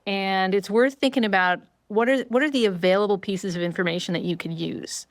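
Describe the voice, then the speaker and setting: A woman's voice: medium pitch, brisk, no echo, studio quality. A middle-aged woman from rural Vermont, a scientist explaining how hearing works in a thoughtful, conversational way. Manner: explanatory, thoughtful, frequent affirming fillers. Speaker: neuroscientist guest.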